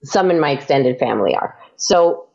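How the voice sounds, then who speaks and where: A woman's voice: a bass voice, expressive, normal speed, gravelly, a little mellow, dull audio. A woman chatting casually as an interview guest. She talks freely with lots of fillers and laughs often. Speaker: podcast guest.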